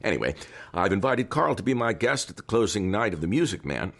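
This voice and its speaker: Actor as a deep, gravelly, formal theater boss. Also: male voice, sing-song. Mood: generous, businesslike. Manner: measured, formal, patronizing yet appreciative. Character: formal, candid, authoritative older male theater owner. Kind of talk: audio drama acting.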